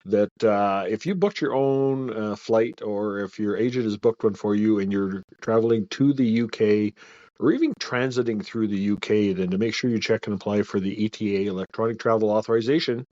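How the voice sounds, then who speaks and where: A man's voice: on the low side, moderate pace, warm tone, dull audio. A man, a travel agent, giving a podcast's weekly roundup with practical reminders for travelers. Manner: informative, reminding listeners. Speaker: travel agent, regular guest.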